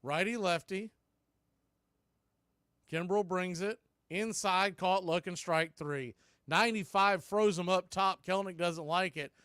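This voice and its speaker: A man's voice: high-pitched, measured pace, a bit bright, clean tone, dry recording. Man calling live baseball, dry close sound. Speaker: baseball play-by-play host. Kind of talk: live play-by-play commentary mixed with history recap.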